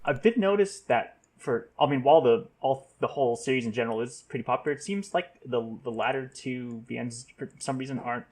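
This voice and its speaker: A man with a slightly rough voice talking casually as a podcast panelist. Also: mid-range voice, lively intonation, brisk, few pauses, darkish tone.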